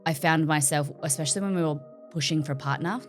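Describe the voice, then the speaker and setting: A woman's voice: deep voice, fast, faint music behind. A woman with an Australian accent, chatting casually as an interview guest about her cooking streams, speaking with careful articulation.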